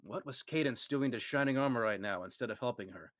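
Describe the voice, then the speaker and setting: A man's voice: mid-range voice, fast talker, a bit bright, no echo, clean studio sound. A man narrating a comic fan fiction reading with dramatic storytelling flair. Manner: dramatic storytelling read aloud, occasional stumbles.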